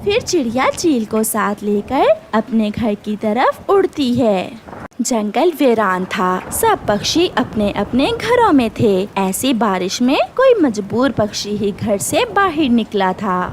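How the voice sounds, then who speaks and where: A woman's voice: fairly high pitch, sing-song, takes it slowly, a little hiss or hum. A woman reading scripted narration for a children's cartoon story.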